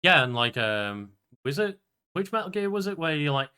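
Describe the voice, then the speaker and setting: A man's voice: a little high, very animated, moderate pace, sharp tone, clear, resonant tone. A man co-hosting a podcast in a casual, conversational style. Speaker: podcast co-host.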